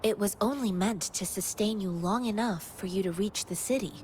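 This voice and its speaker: Calm, earnest young heroine played by an actress. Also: female voice, mid-range voice, moderate pace, background noise, lightly acted. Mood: earnest, composed. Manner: calm, formal, proverb-quoting. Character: earnest, generous young female wandering martial disciple. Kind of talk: video game voice acting.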